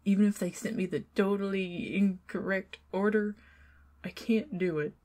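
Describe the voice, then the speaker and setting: A young woman with a Southern US accent doing an ASMR roleplay as a chatty neighbor, rambling between soft talk and whispers with nervous giggles. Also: medium pitch, steady tone, normal speed. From Southern United States. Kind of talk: ASMR roleplay, talking to the listener as a friendly neighbor.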